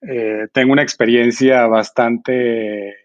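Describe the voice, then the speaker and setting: A man's voice: mid-range voice, steady tone, takes it slowly, dry recording. Middle-aged man from Venezuela, slightly muffled. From Venezuela, born in Argentina. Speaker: podcast guest, investor. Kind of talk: conversational interview.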